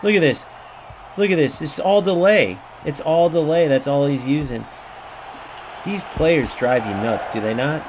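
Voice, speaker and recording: A man's voice: on the high side, unhurried. A man streaming a football game, commentating live with profane, ranting trash-talk about his opponents. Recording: clear background noise.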